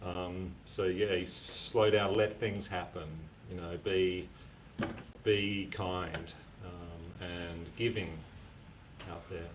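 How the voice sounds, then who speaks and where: A man co-hosting a casual live stream, speaking in an easygoing, halting way full of "um"s and dry little jokes. His voice is very low. He sounds a step back from the microphone, with a faint hum underneath. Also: level tone. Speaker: co-host. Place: community repair centre. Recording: distant mic with faint background noise.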